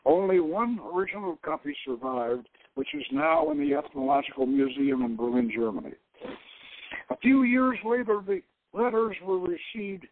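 A man's voice: sits high, big pitch swings, normal speed, gravelly. A man giving a dramatic reading of an adventure novel as a narrator, over a thin phone-like radio line. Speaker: host reading his adventure novel aloud. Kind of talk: dramatic audiobook-style reading.

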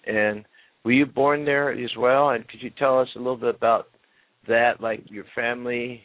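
A man hosting a radio interview, asking questions in a halting way that repeats itself. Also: mid-range voice, steady tone, no room sound. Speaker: radio show host. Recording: dry phone-line audio.

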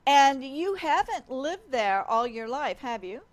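Slow-speaking upbeat woman radio host. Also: high voice, sing-song. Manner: enthusiastic, upbeat. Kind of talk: talk radio hosting.

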